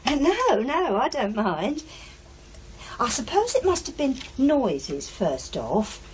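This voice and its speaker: Chatty blunt english working class mum, radio play, voice goes up and down loads, bit of noise. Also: female voice, high voice, huge pitch swings, slow pace, heavily acted. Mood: chatty recounting. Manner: colloquial, blunt, nagging. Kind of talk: naturalistic radio drama acting.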